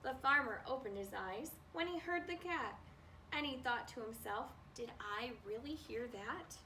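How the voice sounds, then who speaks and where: A woman reading a children's storybook aloud in an expressive manner, as a librarian does at story time. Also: on the high side, measured pace. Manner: expressive, acts out animal noises. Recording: distant mic with faint background noise.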